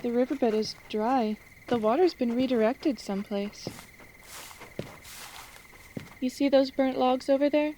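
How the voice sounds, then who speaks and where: A woman playing a friendly, teasing young ranch girl in a fantasy audio drama, chatty and expressive. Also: high-pitched, normal speed, slight background noise, lightly acted, rough recording. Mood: concerned, friendly.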